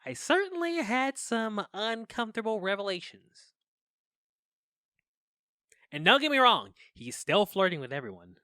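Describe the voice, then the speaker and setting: A flustered young man confessing into his podcast mic in an audio drama. His bright voice leaps up and down as he rambles and hesitates, awkward and lovelorn. The sound is close and dry. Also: high voice, very animated, unhurried, pauses often, no echo, exaggerated voice. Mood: uneasy. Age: young adult.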